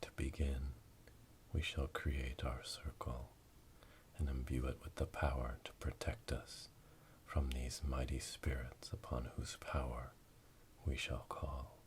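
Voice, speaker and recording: Guy doing whispery asmr witch ritual, super deep n slow, solemn, faint rumble behind. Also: little pitch movement. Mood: solemn, hushed. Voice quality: breathy, whispering. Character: solemn witch elder leading an initiation rite. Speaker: ASMR roleplay performer. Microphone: close binaural microphone. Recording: close binaural, rumbling noise, clicks.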